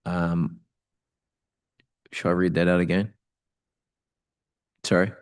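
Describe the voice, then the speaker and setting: A man's voice: deep voice, little pitch movement, slow, smooth. A man, chairing a council meeting in a procedural, matter-of-fact way.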